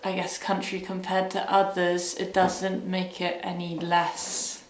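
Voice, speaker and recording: A young woman from Wales answering interview questions in a hesitant, thoughtful way, often pausing to search for words. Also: on the low side, monotone, echoey room, slight background noise, low-quality recording.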